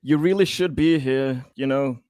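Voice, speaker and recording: A man playing a tabletop roleplaying game, speaking in character with measured, rather formal phrasing. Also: mid-range voice, darkish tone, clean tone, no room sound, clean studio sound.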